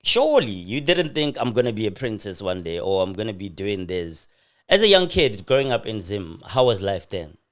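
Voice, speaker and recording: A man's voice: lowish voice, very animated, moderate pace, a bit bright. A man hosting a podcast, asking curious questions in a playful way with frequent laughs. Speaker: podcast host. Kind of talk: casual interview conversation.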